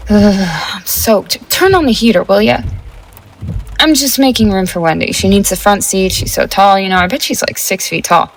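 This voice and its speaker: A woman playing a flippant, stubborn teenage gang member in a 1950s audio drama, with an offhand, halting delivery. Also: medium pitch, slight background noise, close to an everyday voice, low-quality recording.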